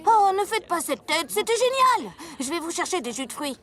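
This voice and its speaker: Very high-voiced kindly mare. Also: female voice, very animated, normal speed, a bit bright, full character voice. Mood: encouraging. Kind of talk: French cartoon dubbing.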